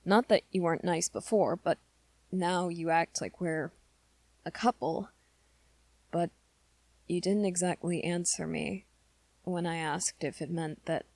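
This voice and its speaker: A woman plays an awkward teenage werewolf boy with a low voice full of gravelly creak. He talks haltingly and earnestly, close to the listener as in an ASMR roleplay. His short temper is held back in favour of gentleness, and the sound is dry and intimate. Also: no room sound, full character voice. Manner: hesitant, halting, rambling confession to listener.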